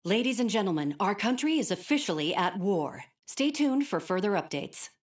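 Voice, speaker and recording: Casting: woman acting urgent, formal TV newsreader for a game, lively intonation, heard a little off-mic like a broadcast. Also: mid-range voice, moderate pace, distant mic, lightly acted. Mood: grave, urgent. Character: urgent, formal female TV news anchor. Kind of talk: broadcast news reading.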